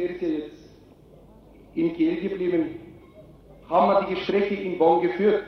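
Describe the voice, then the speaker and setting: Male activist spokesman, non-native speaker, tired but impassioned, halting emphatic phrases, highish voice, long reverberant echo. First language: not German. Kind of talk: impassioned public speech. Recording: echoey, distant, dull rally recording.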